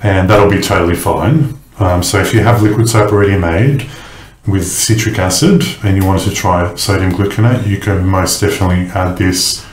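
Man, very deep voice, relaxed chatty how-to, ums, roomy kitchen echo. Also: moderate pace, slight background noise. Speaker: soap maker. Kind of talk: casual how-to narration.

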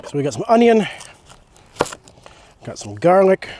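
Male host, thirties, higher-pitched voice, conversational hobbyist explainer, recorded outdoors. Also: big pitch swings, a little slow, background noise. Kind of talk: casual hobby chat and cooking demonstration. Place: outdoors in a leafy backyard.

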